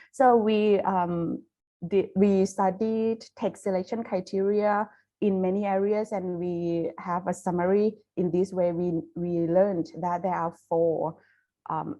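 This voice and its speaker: A Thai woman with a Thai accent, speaking English as a foreign language, giving a careful academic webinar presentation with frequent pauses and restarts over a video call. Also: mid-range voice, mellow. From Thailand. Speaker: university lecturer presenting research.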